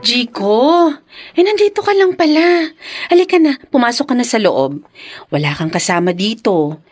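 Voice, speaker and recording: A woman playing a gentle, dutiful young mother in a radio drama, tender and respectful with a hesitant edge. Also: a little high, expressive, moderate pace, soft background music. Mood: tender, evasive. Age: young adult.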